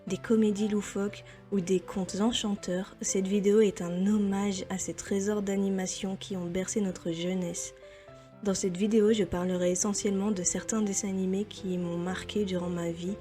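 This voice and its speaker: A woman's voice: medium pitch, mellow. A young adult woman presenting a semi-scripted video commentary as its host.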